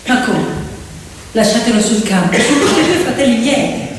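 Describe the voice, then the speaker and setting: A woman's voice: on the high side, animated, moderate pace, background noise. A woman telling a story theatrically, emphatic, repeating words for effect. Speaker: stage actress and storyteller. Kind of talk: theatrical storytelling to piano accompaniment. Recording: echoey hall, distant mic, loud music. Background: piano music.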